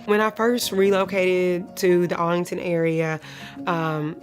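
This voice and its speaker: Low-voiced woman in her thirties, sharing testimony. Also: flat delivery, measured pace. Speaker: client of a shelter charity telling her story. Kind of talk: personal testimony in an interview. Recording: music playing behind the voice. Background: instrumental music.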